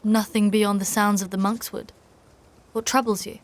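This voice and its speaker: A woman playing a stern, devout knight in a dark fantasy audio drama, speaking and narrating in a formal, measured, archaic way. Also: medium pitch, level tone, a little hiss or hum, lightly acted. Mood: stiff, proper. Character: battle-weary female knight, stern, devout, formal, solemn narrator of her quest.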